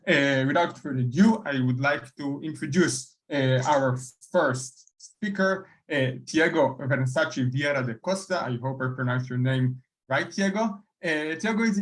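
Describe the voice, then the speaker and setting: Halting man chairing an online session. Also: a little high, fairly bright tone. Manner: halting, many fillers. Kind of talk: introducing speakers at an online meeting.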